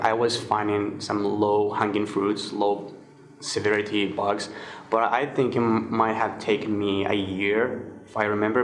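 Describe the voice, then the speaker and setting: Twenties guy from Morocco, low steady voice, relaxed, filler-heavy interview answers. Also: vocal fry. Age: 20s. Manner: relaxed, many fillers and restarts. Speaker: guest, security researcher.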